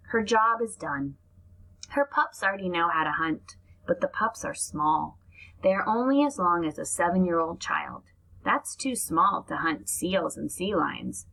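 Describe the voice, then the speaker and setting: A woman's voice: mid-range voice, gravelly, a bit bright, breathy edge, poor audio. A woman reading aloud to young children like a teacher, explaining things and adding asides as she goes.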